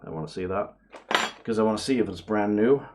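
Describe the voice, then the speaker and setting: A man giving casual unboxing commentary to camera, excitable and prone to awestruck exclamations and hesitations. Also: on the low side, fairly quick, background noise. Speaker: vlogger, radio amateur hobbyist.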